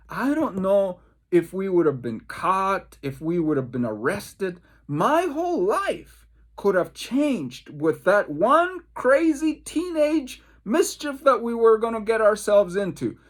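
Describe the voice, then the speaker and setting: A man from the Caribbean, a pastor leading a devotional Bible study, tells personal stories emphatically, repeating phrases and pausing for effect. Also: high voice.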